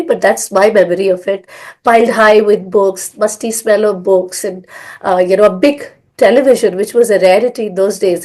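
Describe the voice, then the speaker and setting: A woman's voice: mid-range voice, a little mellow. A middle-aged woman from India, telling her life story in a rambling, filler-filled way. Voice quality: hoarse. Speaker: guest, language school founder. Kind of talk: conversational storytelling.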